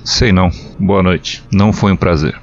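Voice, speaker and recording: A man's voice: low voice, normal speed, creaky, muffled recording, faint music behind. A man voicing the grumbling, pessimistic, logical half of a brain in an audio drama, with a grudging manner. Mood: grudging, glum.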